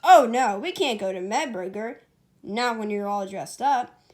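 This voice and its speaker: A woman's voice: medium pitch, animated, unhurried, a little mellow, close to an everyday voice. Woman playing a cocky, flustered young hedgehog hero. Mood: casual. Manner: boastful, flustered, stammering.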